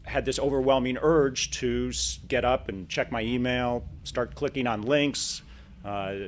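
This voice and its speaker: A middle-aged man gives a personal account, halting and repeating words as he goes. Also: medium pitch, fairly even intonation, slight background noise. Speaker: technology writer.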